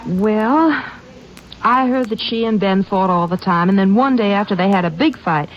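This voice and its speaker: A woman's voice: medium pitch, normal speed, natural voice. A gossipy young country woman, played by a woman, who chats along in a folksy way. She comes through a dull old radio recording. A faint hiss sits behind her.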